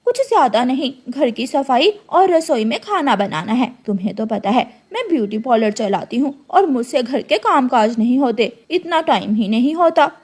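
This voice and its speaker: A mean, self-important cartoon crow mistress, put on by a woman, with a high voice. She is imperious and bossy, talking down in a scolding way. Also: animated, normal speed, few pauses, darkish tone, breathy edge. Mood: brisk, self-important.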